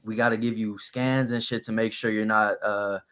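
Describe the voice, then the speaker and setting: A young man from New Orleans with a raspy voice, a rapper telling stories as a guest on a podcast in an easy, relaxed way. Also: fairly low pitch, moderate pace. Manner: relaxed storytelling with fillers. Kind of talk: casual podcast interview.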